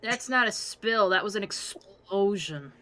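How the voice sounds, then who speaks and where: A woman's voice: medium pitch, lively intonation, unhurried, background noise. A woman chatting casually as a guest on a panel. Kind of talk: casual chat.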